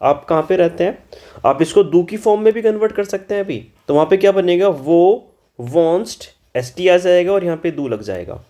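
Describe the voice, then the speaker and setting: A man's voice: high-pitched, lively intonation, mellow. A man teaching German in Hindi, going through an exam paper and scolding students for common mistakes. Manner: spells out German words slowly. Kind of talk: exam-solution lesson in Hindi.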